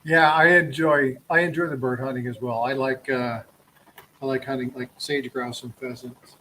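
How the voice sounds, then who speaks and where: A man from Texas hosts a relaxed live stream and chats with a guest in a loose, joking manner. His voice sits at a medium pitch, and faint background noise runs behind him. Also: moderate pace, rough recording. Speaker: coin roll hunting streamer. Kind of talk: casual live stream chat.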